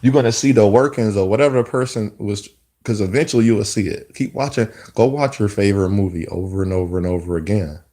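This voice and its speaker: Low, mellow-voiced man musing aloud. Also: fast talker. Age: 30s. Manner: rambling, reflective, with many restarts. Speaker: vlogger. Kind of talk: casual spoken monologue.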